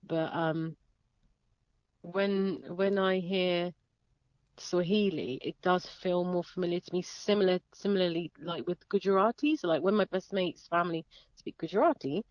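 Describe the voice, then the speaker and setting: A young woman from the south of England talking through family memories in a relaxed interview, hesitant, with many fillers and laughs. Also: on the low side, normal speed, dry recording. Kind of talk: casual reflective conversation. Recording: dull lo-fi sound.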